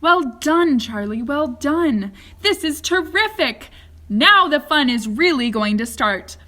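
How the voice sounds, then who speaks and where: An exuberant, eccentric candy factory owner from a storybook, as voiced by a woman reader. He is cheerful and emphatic, full of exclamations, in a fairly high voice. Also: animated, slow pace, pushed and bright, full character voice. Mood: delighted, urgent. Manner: exclamatory, emphatic, repeats phrases.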